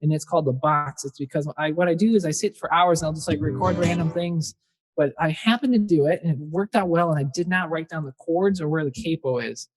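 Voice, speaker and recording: A man's voice: a little high, fairly quick. A young man, a singer-songwriter guest, chatting casually in a podcast interview and rambling with fillers. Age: young adult.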